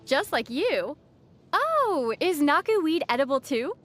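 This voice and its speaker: A young traveler heroine from a video game. Her voice is high and lively in its intonation, yet composed, with a playful, quick-witted teasing manner. Soft music plays faintly underneath. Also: heavily acted. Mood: amused. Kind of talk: video game character dialogue.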